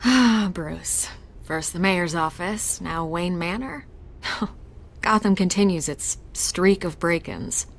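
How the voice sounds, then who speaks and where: An actress playing a confident, persuasive newspaper reporter in a video game, assured and coaxing. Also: female voice, on the low side, slow pace, slight background noise. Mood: tentative, wry.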